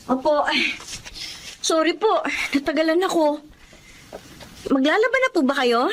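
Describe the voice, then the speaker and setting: An actress playing a respectful, anxious young daughter in a Filipino drama. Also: female voice, high voice, expressive, unhurried, fairly bright tone. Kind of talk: Filipino radio-style drama acting.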